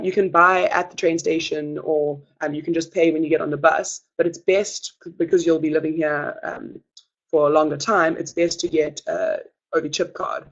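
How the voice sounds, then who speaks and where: A young adult gives a webinar presentation as a student presenter, talking in a reassuring way with many hesitant filler pauses. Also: medium pitch, vocal fry, lo-fi sound.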